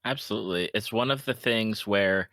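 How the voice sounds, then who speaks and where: A middle-aged man interviewing casually as a podcast host, reflective and a little halting. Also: lowish voice, fairly bright tone, no room sound.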